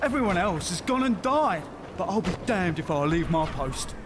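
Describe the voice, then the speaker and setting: An actor playing a gruff, hot-tempered male soldier in a video game, blunt and irritable. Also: sits high, expressive, normal speed. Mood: angry, defiant. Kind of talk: video game character acting. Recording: loud music and battle noise.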